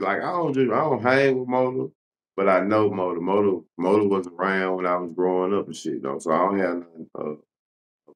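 A man's voice: deep voice, rough recording. A man hosting a casual interview as a blogger, talking in a slangy, conversational and reflective way.

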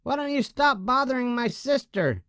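Brief: a man playing a hot-headed boy cub from a storybook, pitched high. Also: lively intonation, moderate pace, clear, resonant tone, dry recording, clean studio sound. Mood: angry. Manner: indignant, shouting. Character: angry protective boy bear cub.

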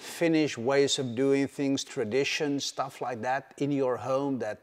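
A male interview host asking gentle questions with stammered starts, seated in a winery barrel room. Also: medium pitch, steady tone, measured pace. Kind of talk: conversational interview. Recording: long hall reverb.

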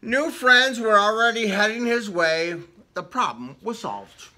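A man's voice: high-pitched, expressive, a little slow, sharp tone. A man reading a picture book aloud to children, theatrical, with casual questioning asides. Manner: theatrical, asks the young listeners questions. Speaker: children's story reader. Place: indoor room with book cut-outs.

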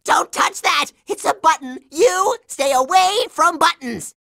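A cocky cartoon boy pilot blusters through a video game adventure. The voice is high, bright and dry, excitable and jittery. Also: a little slow, gravelly, no echo, exaggerated voice. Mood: exasperated.

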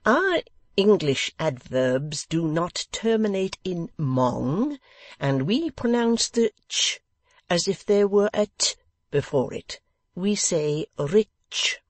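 A reader voicing a pompous, condescending English gentleman host who talks slowly, loudly and overenunciates as if to a deaf child. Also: sits high, sing-song, dry recording, pitched above the performer's own voice, full character voice.

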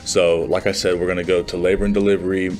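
A man's voice: sits low, level tone, fast talker, faint music behind. A man in his twenties talking informally and conversationally to camera, like a student vlogger in a small room. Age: 20s. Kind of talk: casual vlog talk to camera. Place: small plain indoor room.

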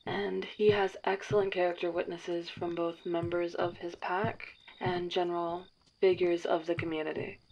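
A precise, formal matchmaker who runs things her own way, played by a woman. Her voice sits a little low and she speaks in clipped, businesslike phrases. The recording sounds a bit dull, with a faint hiss. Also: flat delivery, normal speed, gravelly, a little mellow. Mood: briefing. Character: crisp, controlling woman dating agency matchmaker. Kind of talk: audio drama roleplay.

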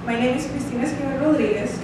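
A young woman from Costa Rica speaks English with a Costa Rican Spanish accent. She reads a prepared speech earnestly, her voice a touch high. She sounds distant from the mic in a very echoey room with a steady hiss underneath. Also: fast. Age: 20s. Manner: earnest, reading aloud with hand gestures, light laughs. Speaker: student speaker. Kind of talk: prepared showcase speech read from paper. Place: small room, standing at a lectern. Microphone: stand mic at some distance.

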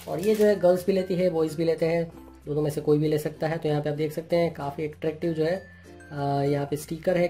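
Flat-voiced man explaining with filler words. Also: a little high. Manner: plain explanatory talk with many "jo hai" fillers. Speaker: channel host reviewing products. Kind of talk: product unboxing and review. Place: indoors at a table. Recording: clear music playing underneath.

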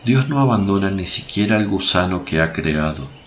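An actor playing a compassionate, authoritative holy teacher in a radio play, solemn and measured. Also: male voice, low-pitched, animated, a bit bright, a little hiss or hum. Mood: solemn, gentle. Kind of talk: Spanish-language radio play acting.

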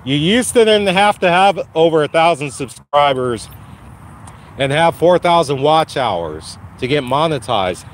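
A man hosting his first live stream from a phone while walking a dog outside, his voice slightly raspy from a cold, casually encouraging viewers. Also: fairly high pitch, animated, measured pace, slight background noise. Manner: encouraging, repetitive, addresses viewers by name. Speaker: channel host. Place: outdoors on a lawn walking a dog. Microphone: phone held while walking.

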